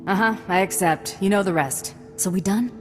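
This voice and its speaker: A woman's voice: medium pitch, normal speed, big-room sound, over music, lightly acted. A woman playing a blunt, tough bounty hunter in a video game, terse, dry and no-nonsense. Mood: blunt, businesslike. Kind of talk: video game cutscene acting.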